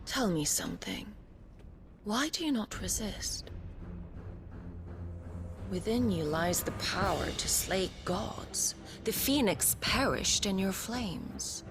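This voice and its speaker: An actress playing a haughty enemy commander in a game cutscene, measured and condescending in her taunts. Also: medium pitch, very animated, music in the background. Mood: mocking. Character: haughty female enemy commander, cold and mocking.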